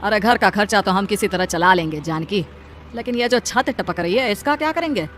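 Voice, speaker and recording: A woman's voice: mid-range voice, expressive, a little fast, fairly bright tone, soft background music, natural voice. A woman voicing a poor male laborer, an earnest, protective husband in a cartoon. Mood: worried. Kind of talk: Hindi cartoon voice acting.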